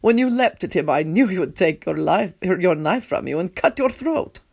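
A woman voicing a calm, stern but kindly vampire prince, speaking in a measured, formal way. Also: lowish voice, vocal fry, slightly rough, full character voice. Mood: kindly, firm.

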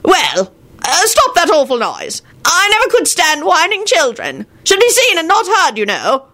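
A woman's voice: extremely high pitch, huge pitch swings, measured pace, bright, forceful tone, voice pushed up, heavily acted. A woman voicing a haughty, scolding, very old male wizard grandfather in a storybook reading, with pompous old-fashioned phrasing. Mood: indignant.

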